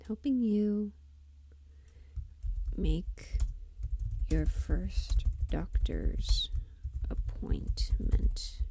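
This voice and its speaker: A woman's voice: mid-range voice, very slow, gravelly, pauses often, warm tone, husky. A woman chatting casually as she hosts a livestream, often muttering half to herself and trailing off as she works. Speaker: livestream host and audio performer. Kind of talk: casual livestream chat while working.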